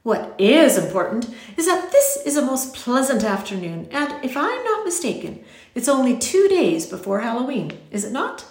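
Storybook role: courteous, whimsical little gentleman played by a woman, pitched up and swooping, genteel exclamations, roomy sound. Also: very animated, normal speed. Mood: whimsical, genial. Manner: exclamatory, genteel, formal phrasing. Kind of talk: audiobook character voice.

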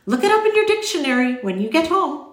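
Woman voicing a whimsical, swooping little gentleman, echoey. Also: high voice, sing-song, fast talker, pitched above the performer's own voice. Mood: earnest, courteous. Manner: exclamatory, genteel, formal phrasing. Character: whimsical, courteous little old-fashioned gentleman stranger. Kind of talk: audiobook character voice.

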